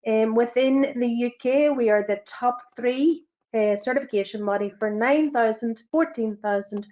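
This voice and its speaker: A woman with a Northern Irish accent presents a business webinar at a fast clip, scattering ums and uhs as she goes. She sits in the middle of the female range. The sound is a bit muffled and lo-fi, like a computer call. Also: mid-range voice. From Northern Ireland. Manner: fast-talking, with frequent fillers. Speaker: sustainability assessor and webinar presenter. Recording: dull, lo-fi webinar audio.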